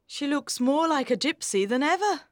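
A woman's voice: sits high, animated, normal speed, dry recording, clean studio sound, pitched above the performer's own voice. A woman voicing a fussy, anxious, eager-to-please mother in an audiobook, exclaiming and fussing. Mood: dismayed. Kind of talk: audiobook character voice.

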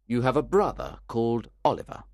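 A man reading a story aloud, voicing a composed, stern older gentleman who lays out accusations firmly. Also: medium pitch, lively intonation, no echo, studio quality, close to an everyday voice. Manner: firm, measured and accusing.

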